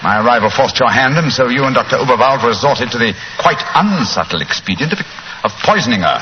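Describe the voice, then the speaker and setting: Urbane, coolly sardonic detective played by a man, lively inflection, theatrical forties radio style, muffled vintage sound. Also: mid-range voice, fairly quick, lightly acted. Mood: feigned defeat. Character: shrewd, self-assured gentleman detective, coolly sardonic. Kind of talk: 1940s radio drama acting. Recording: noisy, muffled 1940s radio recording.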